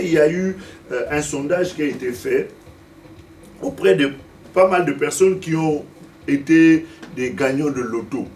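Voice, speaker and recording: A man's voice: on the high side, darkish tone, slight background noise. A man in his fifties from Africa preaching a Sunday sermon, stumbling and repeating words as he searches for them and putting questions to the congregation. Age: 50s. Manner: asks the congregation direct questions. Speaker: pastor. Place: church service. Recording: distant mic with faint room ambience.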